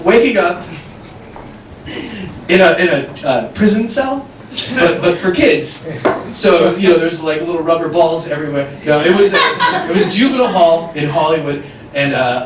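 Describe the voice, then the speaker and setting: American stand-up guy, pretty high voice, far off mic in an echoey club, animated self-mocking story. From United States. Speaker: stand-up comedian. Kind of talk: stand-up comedy set. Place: comedy club stage. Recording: echoey room, distant mic, audience noise.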